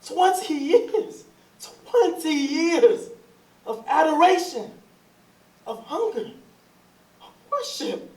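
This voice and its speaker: Stage drama: anxious, lovelorn Southern husband who likes giving orders played by a woman, high voice, echoey distant sound. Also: sing-song, slow pace, pauses often, darkish tone, heavily acted. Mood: anguished. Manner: urgent, pleading, commanding. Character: John, earnest, bossy returning husband, anxious and lovelorn. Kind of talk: staged readers theatre, Southern period dialect.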